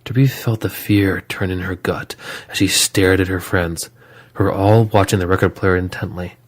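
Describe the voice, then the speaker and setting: A man's voice: low-pitched, fairly even intonation, moderate pace. A man narrating a scripted horror story as a dramatic storyteller.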